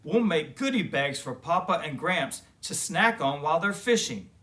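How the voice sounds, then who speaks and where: A man's voice: on the high side, sing-song, normal speed, pushed and bright, distant mic. A man voicing an eager, helpful little girl bear cub in a children's storybook.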